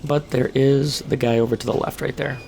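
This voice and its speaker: Hoarse, gravelly male gamer narrating a walkthrough; flat, even delivery. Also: medium pitch, fairly quick, a little hiss or hum. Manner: calm step-by-step instructions. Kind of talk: casual game walkthrough commentary.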